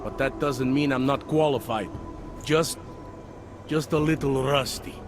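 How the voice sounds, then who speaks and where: An actor playing a weary, self-deprecating older cop near retirement in a video game, talking in a wry, conversational way. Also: male voice, medium pitch, measured pace, background noise, natural voice. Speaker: police officer. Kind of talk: video game cutscene dialogue. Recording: background music under dialogue.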